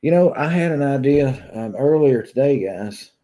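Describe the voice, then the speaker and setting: A man's voice: mid-range voice, lively intonation, moderate pace, warm tone. A man in his thirties with a Southern Kentucky drawl, chatting casually to his viewers as a vlogger. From Kentucky, United States. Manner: drawling, conversational. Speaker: YouTube vlogger. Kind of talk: casual chat to viewers, venting. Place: small room at home, indoors.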